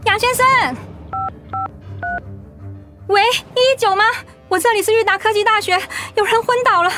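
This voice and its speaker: A courteous woman on a university's staff in a radio drama. Her voice is very high, with music softly behind it. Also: quick pace. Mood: urgent. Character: polite university staff woman organizing a talk.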